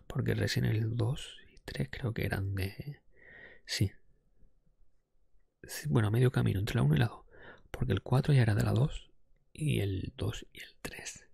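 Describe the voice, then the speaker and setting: A man talking in a casual, breathy ASMR whisper close to the microphone, thinking aloud with some hesitation. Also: fairly low pitch, a little mellow, no echo. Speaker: ASMR podcast host. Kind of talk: whispered ASMR talk, casual game ranking.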